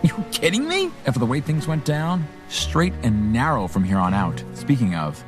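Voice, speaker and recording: A man's voice: medium pitch, sing-song, moderate pace, over music, natural voice. An actor playing a wary, wisecracking reformed henchman in a pulp radio drama, sardonic and colloquial.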